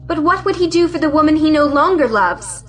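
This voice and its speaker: Young unmarried heroine, female actor, period audio play: high bright voice, earnest, outspoken, music faint behind. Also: animated, a little fast. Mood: defiant. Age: young adult. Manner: earnest, plaintive, articulate. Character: defiant, frank young unmarried woman of means. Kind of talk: theatrical audio drama acting of a 1917 play.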